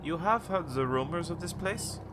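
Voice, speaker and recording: A man's voice: fairly high pitch, lively intonation, moderate pace, background noise, lightly acted. An actor playing a wary, cynical old townsman who tells cryptic stories in a radio play, in a theatrical, rambling way. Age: older. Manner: cryptic, theatrical, rambling storytelling with knowing chuckles. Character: wary, cynical old male townsman, former shopkeeper, storyteller.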